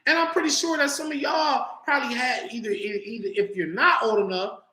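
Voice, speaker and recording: Forty-something dude from LA ranting casually on a livestream, bright tone, roomy echo. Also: male voice, very high-pitched, a little fast. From Los Angeles, US. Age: 40s. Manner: loose, animated storytelling with slang and swearing. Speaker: livestream host.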